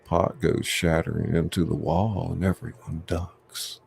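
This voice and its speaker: A man's voice: low-pitched, flat delivery, measured pace, vocal fry, darkish tone, breathy edge. A man reading screenplay stage directions aloud as scripted narration. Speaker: narrator, reader. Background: faint music.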